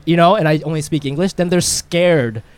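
High-voiced man, blunt podcast host. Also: a little fast, clear, resonant tone, no echo. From Japan. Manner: animated, blunt, swears casually. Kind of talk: casual podcast banter.

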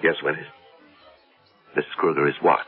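An actor playing an anxious, devoted husband and rich master of the house in an old radio drama, speaking earnestly and emphatically. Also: male voice, rumbling low, little pitch movement, normal speed, husky, exaggerated voice. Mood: controlled, insistent. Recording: muffled old radio broadcast with music.

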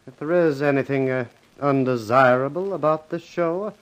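A prim, snide company man, played by a man in a 1950s radio thriller. He speaks formally in a middle-pitched voice, full of officious insinuation. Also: moderate pace, natural voice, low-quality recording. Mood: snide, insinuating.

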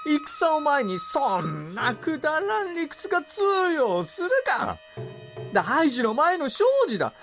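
A petulant young regent voiced by a woman who rules by haughty command in a samurai radio play. The voice is high and theatrical, leaping about dismissively, with faint music underneath. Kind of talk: audio drama acting.

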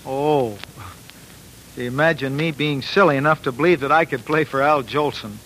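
A man's voice: mid-range voice, normal speed, a bit bright, slight background noise, lightly acted, rough recording. A man playing an eager, polite, naive young musician, earnest and bashful, in 1940s radio drama style. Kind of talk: 1940s radio acting and narration. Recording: old 1940s radio broadcast.

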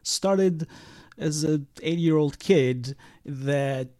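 Israeli-raised man, halting and reflective. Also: a little high, dark tone. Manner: halting, many fillers and restarts. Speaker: sound engineer guest. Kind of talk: casual interview.

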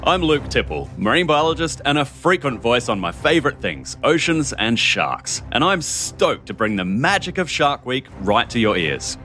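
A man's voice: medium pitch, sing-song, normal speed, hardly any pauses, sharp tone, faint music behind. A man hosting a podcast as a marine biologist, reading an upbeat intro and then explaining at length.

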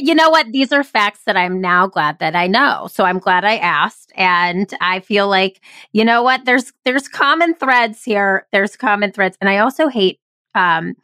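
A woman's voice: medium pitch, a bit bright, clear, resonant tone, no echo. Woman, podcast host, enthusiastic, chatty, dry recording. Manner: enthusiastic, quick to laugh along. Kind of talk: casual podcast interview.

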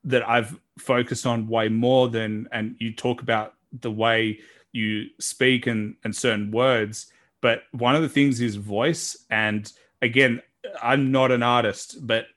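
Man, deep voice, earnest and reflective podcast host. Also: steady tone, slow pace, a bit bright. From Australia. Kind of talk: casual interview talk.

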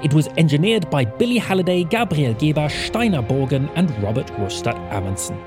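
Animated credits announcer over music. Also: medium pitch, fairly quick. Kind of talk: scripted podcast credits narration.

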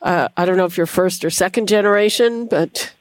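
A woman's voice: on the low side, fairly quick, dry recording. A woman interviewing a guest in a conversational way, with a few hesitations. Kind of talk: broadcast interview.

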